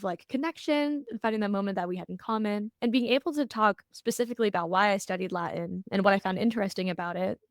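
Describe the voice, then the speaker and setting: A young woman, a college counselor, talking in a relaxed interview, thoughtful and earnest with lively bursts. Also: medium pitch, gravelly, dry recording, studio quality. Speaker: admissions counselor, guest. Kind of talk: casual interview.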